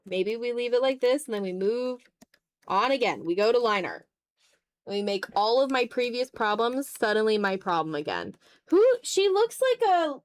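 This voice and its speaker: A woman livestreamer chatting casually while drawing, thinking aloud in fragments with a self-deprecating manner. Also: a little high. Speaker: art livestreamer.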